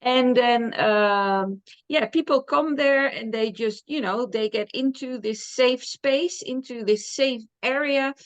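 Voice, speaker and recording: A woman with a Dutch accent talks at an unhurried pace as an interview guest. She sounds enthusiastic and chatty, laughing often and filling pauses with "uh" and "um". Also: fairly high pitch. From Netherlands. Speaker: dog trainer guest. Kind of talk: casual interview chat.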